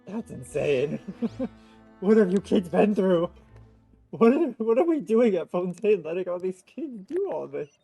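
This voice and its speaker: A man's voice: high voice, big pitch swings, measured pace, vocal fry, dark tone, breathy edge, soft background music. A young adult man giving casual live playthrough commentary as a streamer, reacting with muttered exclamations. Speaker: game streamer.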